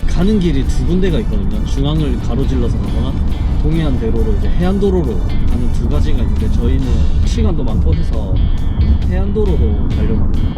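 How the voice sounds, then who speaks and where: A man's voice: medium pitch, big pitch swings, brisk, over loud music, poor audio. A man chatting casually to the camera as he narrates a road trip from the car. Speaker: vlogger. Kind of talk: casual travel vlog chat.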